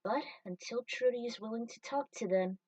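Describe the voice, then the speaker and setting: A woman's voice: on the high side, moderate pace, a little mellow, dry recording, distant mic, poor audio. A woman storyteller narrating a piece of fan fiction.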